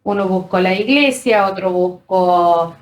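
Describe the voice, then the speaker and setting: A woman's voice: medium pitch, a little slow, echoey room. A woman from San Pedro, Argentina, with an Argentine accent, explaining plainly how her book came about. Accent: Argentine Spanish. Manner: plain, matter-of-fact explaining. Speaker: guest, co-author.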